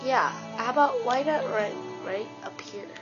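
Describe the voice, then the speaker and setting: A woman with a stuffy nose gives casual, rambling commentary while she plays a video game, excitedly talking to herself. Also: fairly high pitch, moderate pace. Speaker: let's play gamer. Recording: dull lo-fi with game music.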